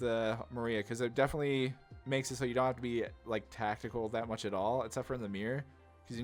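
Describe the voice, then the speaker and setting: A man giving casual, enthusiastic card game commentary as a channel host, talking in a rambling way peppered with "like". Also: mid-range voice. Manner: rambling, enthusiastic, heavy use of "like".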